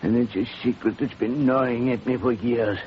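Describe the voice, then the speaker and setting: A man playing an old, ailing, remorseful playwright in a 1940s radio drama, confessing slowly and weightily on his deathbed. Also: mid-range voice, fairly even intonation, natural voice. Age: older. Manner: slow, confessional, weighty delivery. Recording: muffled, hissy old radio recording.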